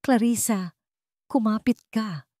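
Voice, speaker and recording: A woman's voice: fairly high pitch, takes it slowly, warm tone, no echo, clean studio sound, pitched above the performer's own voice. A woman voicing a desperate, anguished young man in an audio drama, pleading dramatically.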